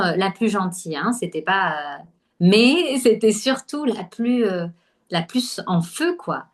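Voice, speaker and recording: A woman from Algeria, a podcast guest, talking casually with many pauses and fillers. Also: lowish voice. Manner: hesitant, many fillers and restarts. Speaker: podcast guest, holistic nutrition coach. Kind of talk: casual conversational interview.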